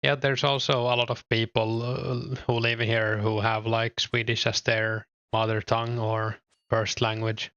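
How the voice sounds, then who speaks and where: A man's voice: on the low side, unhurried, clean tone, no echo, highs cut off. A young man with a Finnish accent, chatting casually and a bit hesitantly while gaming and reading his chat aloud. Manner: relaxed, hesitant, lots of fillers. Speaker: streamer.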